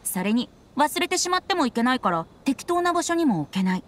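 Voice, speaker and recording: High, lilting boy bard. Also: big pitch swings, brisk, slight background noise. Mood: breezily defensive. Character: youthful carefree boy bard, whimsical and tipsy-minded. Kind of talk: Japanese anime game dub.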